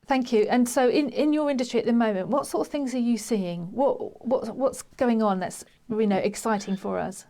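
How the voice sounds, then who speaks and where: A woman's voice: a little high, moderate pace, hardly any pauses, dark tone. A woman hosting a panel, asking conversational questions in an informal, encouraging way. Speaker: host, school principal.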